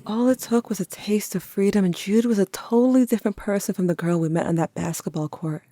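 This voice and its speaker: A woman's voice: medium pitch, fairly quick, warm tone, dry recording, close to an everyday voice. A woman playing a tender, wry, grieving teenager who narrates an audio drama in a reflective, confiding way. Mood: fond. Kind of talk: intimate first-person audio drama narration.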